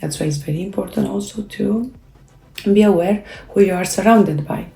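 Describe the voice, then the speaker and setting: A woman whose first language is Romanian, giving a casual motivational talk in a halting way, with many fillers and restarts. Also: medium pitch, creaky, dark tone, roomy sound, a little hiss or hum, rough recording. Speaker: self-help creator.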